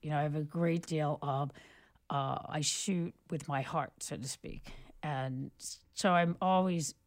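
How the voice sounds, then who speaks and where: A middle-aged woman, a photographer and filmmaker, telling long stories in a relaxed interview, rambling with many restarts and "you know"s. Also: deep voice, a little slow. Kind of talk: relaxed conversational storytelling.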